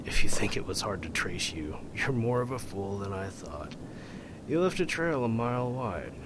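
A man's voice: on the low side, big pitch swings, moderate pace, noisy background. A man playing a scheming blackmailer in an amateur radio drama, speaking with menace and sneers. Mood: menacing. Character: scheming middle-aged male blackmailer.

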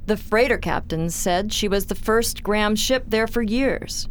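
A woman playing a composed, formal spaceship captain in a science-fiction audio drama, speaking in a measured way. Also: natural voice. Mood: measured, businesslike. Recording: loud background noise, vehicle-like rumble.

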